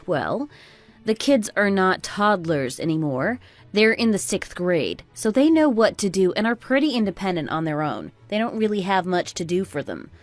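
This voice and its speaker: A woman's voice: mid-range voice, sing-song, moderate pace, no room sound, faint music behind, natural voice. A woman playing an apologetic but indignant working mother in a conversational audio drama. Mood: defensive.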